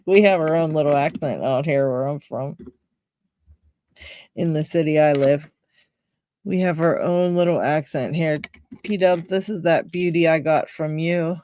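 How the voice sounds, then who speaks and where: A woman's voice: low voice, a little slow, pauses often, mellow, no echo, clean studio sound. A woman with a Pittsburgh accent, hosting a casual live stream and chatting with viewers while she reads names from chat and laughs often. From Pennsylvania, USA. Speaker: livestream host.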